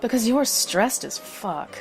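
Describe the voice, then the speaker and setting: A woman's voice: on the high side, lively intonation, slow pace, faint music behind. A woman narrating a scripted story as the narrator of an audio drama.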